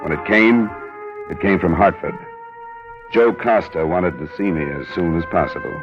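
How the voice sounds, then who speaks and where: An actor playing a cool, hard-boiled investigator who narrates his case in a clipped, deadpan 1950s radio drama style. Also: low voice, moderate pace, over loud music, close to an everyday voice. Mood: terse, matter-of-fact. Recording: dull vintage radio recording.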